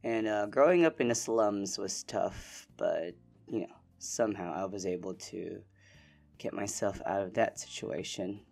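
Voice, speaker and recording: A young man from the Philippines hosting a casual solo podcast, relaxed and rambling with lots of fillers. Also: on the low side, a little slow, gravelly, soft background music. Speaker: podcast host.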